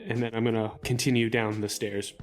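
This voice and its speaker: A man's voice: lowish voice, little pitch movement, brisk. Male tabletop player, casual improvised roleplay, tentative musing phrasing, music behind him. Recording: background music under the voice.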